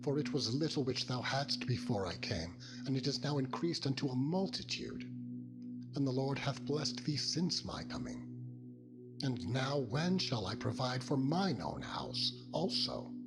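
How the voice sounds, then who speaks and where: A man's voice: medium pitch, very animated, unhurried, pitched above the performer's own voice. A man voicing a proud, aggrieved herdsman with firm, declamatory archaic phrasing in a dramatized scripture reading. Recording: loud music over the voice.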